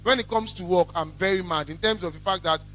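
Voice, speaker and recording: A young man with a Nigerian accent and a loud, carrying voice, giving an emphatic, blunt motivational talk as a business owner. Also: sits high, brisk, slight background noise. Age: young adult. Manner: emphatic, blunt, repeats phrases for effect. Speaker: small chops business owner, guest speaker. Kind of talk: motivational talk to a student audience.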